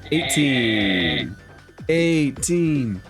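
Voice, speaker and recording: A man acting as dungeon master, narrating the scene and giving calm rulings. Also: mid-range voice, very slow, music in the background. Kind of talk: running a tabletop game.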